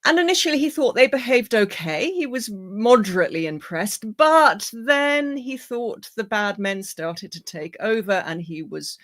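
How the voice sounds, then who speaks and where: A woman lecturing on philosophy, her voice croaky as she recovers from illness, speaking in a lively, wry way with many fillers. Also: on the high side, unhurried, hardly any pauses.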